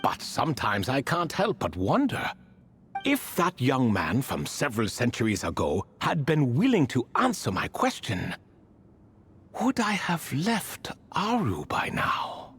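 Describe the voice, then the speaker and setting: Old proud ibis spirit king from a game, slow n theatrical, voice goes way up and down. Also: medium pitch, huge pitch swings, fairly bright tone, a little hiss or hum. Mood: reflective. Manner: theatrical, storytelling, archaic grand phrasing. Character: ancient sealed ibis spirit king, whimsical and prideful. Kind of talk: scripted game dialogue.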